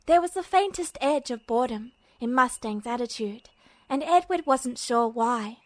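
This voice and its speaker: A woman narrating a fan fiction audiobook in a steady storytelling manner. Her voice sits fairly high. The recording is dry and close, with no room sound. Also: moderate pace, no echo. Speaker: podfic reader.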